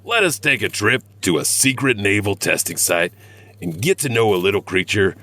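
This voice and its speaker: Low, bright, animated male storyteller. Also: normal speed, no room sound. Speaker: host and narrator of a horror anthology podcast. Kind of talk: scripted tongue-in-cheek storytelling narration.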